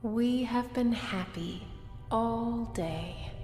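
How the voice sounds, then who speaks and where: Creepy calm sleep-hypnosis voice, super slow, drenched in reverb with loud ambient music. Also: female voice, on the high side. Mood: placid, hypnotic. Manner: soothing, insistent, unnervingly placid. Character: serene, eerie female voice lulling listeners to sleep. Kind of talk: hypnotic repetitive sleep broadcast.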